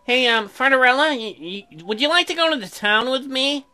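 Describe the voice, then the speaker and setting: A woman's voice: sits high, animated, normal speed, sharp tone. Young farmer husband, female reader: earnest, bashful, stammering, visual novel dialogue over music. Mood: awkward, bashful. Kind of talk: visual novel character reading.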